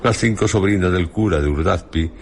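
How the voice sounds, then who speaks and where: An actor playing a measured male presenter in a scripted radio drama, speaking deliberately in short phrases with a Spain accent. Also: deep voice, a little hiss or hum. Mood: measured, intrigued. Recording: music under the voice.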